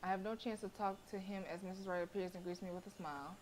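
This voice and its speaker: A woman streamer reading a visual novel aloud as expressive live narration. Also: medium pitch, quick pace, a little hiss or hum.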